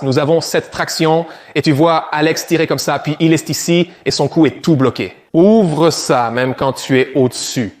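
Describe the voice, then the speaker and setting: A man coaching viewers through a back exercise, giving direct step-by-step instructions on form. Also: a little high. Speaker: fitness coach.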